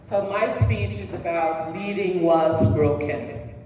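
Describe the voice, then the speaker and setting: A woman's voice: on the low side, steady tone, darkish tone, slight background noise. A woman in middle age delivers a personal motivational talk, taking her time over each phrase. She turns to the listeners with questions now and then. Her voice rings through a big reverberant hall, caught from far off. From Jamaica. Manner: slow, deliberate, asks the audience questions. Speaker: presentation speaker. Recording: echoey hall heard from a distance.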